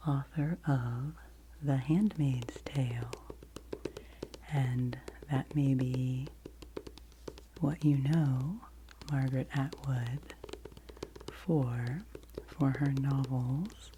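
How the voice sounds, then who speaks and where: Whispering woman, very low and slow. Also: background noise. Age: 40s. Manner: whispered, gentle and unhurried. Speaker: ASMR host. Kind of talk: soft-spoken ASMR book review.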